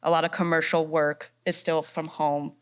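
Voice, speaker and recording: Bubbly voice actress lady, low smooth voice, chatting on a panel. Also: little pitch movement, normal speed. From North Carolina. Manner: bubbly and upbeat, laughs often. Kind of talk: casual panel talk. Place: fine arts center stage.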